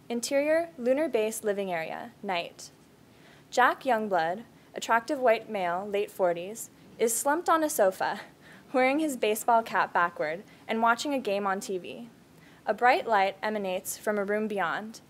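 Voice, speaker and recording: A woman giving scripted narration, reading screenplay directions at a table read. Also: mid-range voice. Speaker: stage-direction reader.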